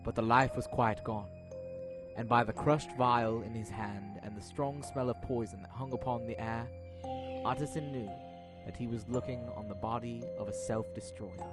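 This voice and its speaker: A man's voice: mid-range voice, fairly even intonation, normal speed, loud music behind the voice. A young man reading scripted dramatic narration of a classic tale, as narrator of an audio drama. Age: young adult.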